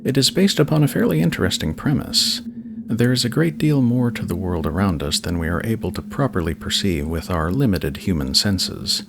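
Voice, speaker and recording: A man with a very low voice and a heavy creak reads scripted narration. His delivery is measured, solemn and slightly dramatic, like a horror storyteller, over light background noise. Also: animated. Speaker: narrator, channel host.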